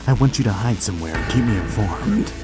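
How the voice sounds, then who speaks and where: A man voicing a gruff, stoic, battle-hardened soldier in a video game, terse and blunt, with a gravelly growl. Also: fairly low pitch, lively intonation, quick pace, close to an everyday voice. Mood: curt, commanding. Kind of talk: dramatic video game voice acting. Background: background music.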